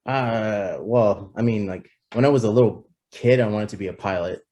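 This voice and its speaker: A man in his thirties, a guest on a casual interview, speaking in a relaxed, matter-of-fact way with frequent restarts. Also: fairly low pitch, normal speed. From Toronto area, Canada. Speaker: guest, business founder.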